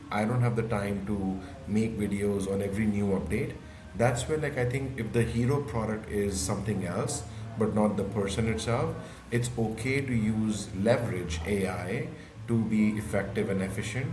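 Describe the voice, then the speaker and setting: Low-voiced man in an echoey room. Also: background noise. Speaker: guest panelist. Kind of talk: panel discussion.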